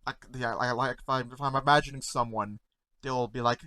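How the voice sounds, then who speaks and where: Man stuttering, halting over words. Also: mid-range voice, steady tone, bright, forceful tone, no room sound, clean studio sound. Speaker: content creator reacting to memes. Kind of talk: casual talk to camera.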